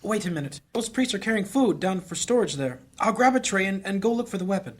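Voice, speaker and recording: A husky, hurried fantasy priest. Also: male voice, sits high, lively intonation. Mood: urgent, earnest. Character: earnest, anxious male priest on a covert search. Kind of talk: fantasy audio drama acting.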